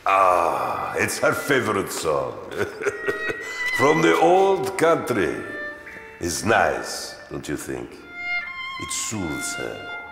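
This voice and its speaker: An actor playing a sinister, unhinged mad scientist in an audio drama, with halting, mock-courteous menace and an oddly doting streak. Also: male voice, sits low, huge pitch swings, vocal fry, heavily acted. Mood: wistful, tender. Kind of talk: full-cast audio drama acting. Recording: echoey hall, faint music behind.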